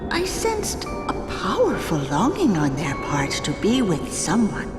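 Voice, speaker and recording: A woman's voice: mid-range voice, wildly swooping pitch, a little slow. An actress playing a mystical woman who senses spirits, solemn and portentous, in a game cutscene. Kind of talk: video game cutscene voice acting. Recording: echoey, music behind.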